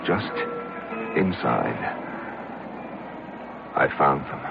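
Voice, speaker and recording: Low male voice, brooding haunted confessor, faltering radio narration, muffled recording with music behind. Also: very animated, very slow, vocal fry, full character voice. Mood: tense recollection. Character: haunted, guilt-ridden man confessing a strange tale. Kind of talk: dramatic first-person radio narration.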